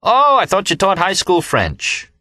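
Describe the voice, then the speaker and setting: A drunken young newspaperman as a male narrator plays him talks loudly and rudely. The audiobook voice is brash, pushed up in pitch and sharp. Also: huge pitch swings, unhurried, no echo, full character voice. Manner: loud, brash.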